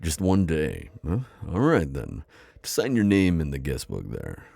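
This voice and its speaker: Guy doing a friendly old vampire innkeeper, asmr roleplay, super low creaky voice, chatty and reassuring, really close dry sound. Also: huge pitch swings, measured pace, a little mellow, no echo, full character voice. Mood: chatty, hospitable. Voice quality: deep, gravelly. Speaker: roleplay audio performer. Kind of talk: immersive ASMR roleplay acting.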